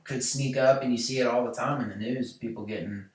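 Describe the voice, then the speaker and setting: A podcast host in his late twenties with a twang, talking in a loose, rambling way with plenty of fillers and false starts. His voice sits at an ordinary pitch for a man. He is heard from a distance in a very echoey room. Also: steady tone, a little fast. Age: late 20s. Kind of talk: casual chat. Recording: echoey room, mic far off.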